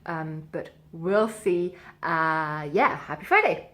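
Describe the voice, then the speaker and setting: A woman's voice: sits low, expressive, slow, noisy background. A woman talking casually and informally as a book vlogger, thinking aloud as she goes. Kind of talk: casual spoken reading vlog.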